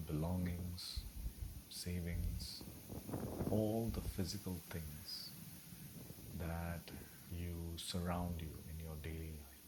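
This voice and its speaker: A man leading a guided meditation in a gentle, soothing, unhurried way with long pauses between phrases. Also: low voice, noisy background.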